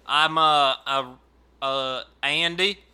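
Guy doing a slow stammery southern hick on the phone, kinda high voice, folksy. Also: steady tone, bright, forceful tone, clean tone, full character voice. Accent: put-on Southern US drawl. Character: dim rural male delivery company phone rep. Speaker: customer service rep.

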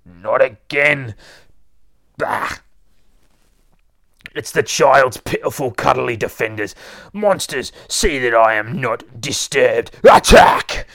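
A man performs a bogeyman-like monster lord, drawn out and loud. He is imperious and scornful, a menacing comic-book villain ordering his minions. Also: medium pitch, slow, vocal fry, pauses often, no echo, clean studio sound. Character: Boogeyman, scornful menacing male monster overlord.

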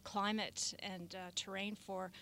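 A woman with a Canadian accent chats as a guest on a talk radio show. Her voice is of average pitch for a woman, and her delivery is informal. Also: little pitch movement, measured pace, creaky, a bit bright. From Victoria, Canada. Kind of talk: casual chat.

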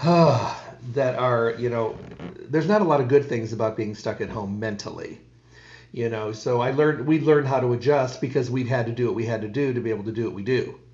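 A man's voice: mid-range voice, normal speed, darkish tone, roomy sound, dull audio. A man hosting a radio talk show, folksy and rambling with many restarts. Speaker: radio host. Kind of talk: casual radio chat.

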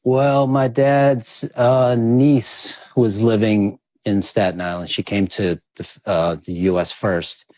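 A man's voice: fairly low pitch, level tone, takes it slowly, few pauses, a little mellow, no echo. A man from the Philippines, raised in New York, talks as a guest in a casual interview in a humble, laid-back way. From born in the Philippines, raised in New York. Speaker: guest, recording engineer.

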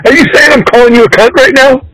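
A man's voice: very high voice, fast, a bit bright, no room sound, rough recording. A man hosting a casual podcast, teasing and goading his guest with mock indignation. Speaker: podcast host. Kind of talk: casual bantering interview.

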